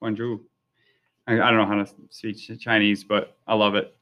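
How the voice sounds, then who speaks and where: A man's voice: on the low side, little pitch movement, normal speed, sharp tone. A man interviewing language learners in a casual, curious and friendly way, with lots of fillers. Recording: dull lo-fi recording.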